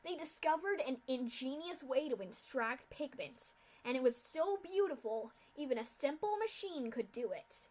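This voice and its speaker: A woman voicing a cold, masked female pegasus official who lectures loudly in a storybook reading. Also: high voice, expressive, normal speed, hardly any pauses, low-quality recording. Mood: lecturing. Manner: lecturing, loud.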